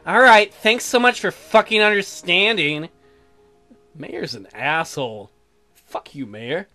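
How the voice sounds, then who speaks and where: Male let's player, profane and sarcastic. Bright, lively delivery over game music. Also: sits high, takes it slowly. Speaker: gaming video host. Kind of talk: casual let's play commentary, reading game text aloud. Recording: music behind the voice.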